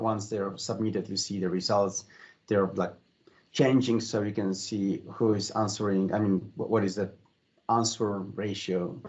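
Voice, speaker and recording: A male moderator explains an answer hesitantly, often repeating words as he searches for the right phrase. His voice sits somewhat low, and the online session audio sounds slightly dull. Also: a little mellow. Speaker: event moderator relaying audience questions. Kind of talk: conversational explanation.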